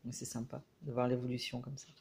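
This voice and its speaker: A man with a head cold giving a casual, hesitant review of a book as he leafs through it, pausing often. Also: mid-range voice, flat delivery, darkish tone, slight background noise. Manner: hesitant, frequent filler pauses.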